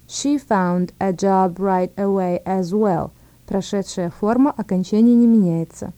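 A woman presents a scripted language lesson at an unhurried pace. Her tone is mellow and relaxed, and she sets out each word and phrase separately, often repeating it. The sound is dry and close, with no room around it. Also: medium pitch, no room sound. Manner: measured, word-by-word delivery with repetitions. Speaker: language instructor.

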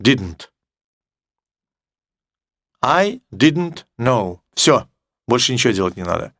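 A Russian-speaking man teaching English grammar, explaining with emphatic repetition and slowing down for English examples in a Russian accent. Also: mid-range voice, very animated, a bit bright, no echo, clean studio sound. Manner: emphatic, repeats key words, slows down for English examples.

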